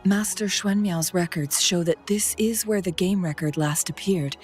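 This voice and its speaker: A composed female advisor from a video game, acted by a woman, with a fairly low voice. She speaks formally and deliberately, as a perceptive strategist would. Music plays behind her. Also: lightly acted. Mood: composed, serious. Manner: measured, formal, articulate. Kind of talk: scripted video game dialogue.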